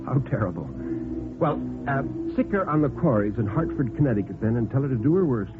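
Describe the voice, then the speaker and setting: A man's voice: medium pitch, big pitch swings, fairly quick, close to an everyday voice. An American man voicing a gossipy, spiteful young society woman in a 1950s radio play, in a gushing, exclaiming way. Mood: curious, teasing. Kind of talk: 1950s radio drama acting. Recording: vintage radio recording, phone-like band, music behind.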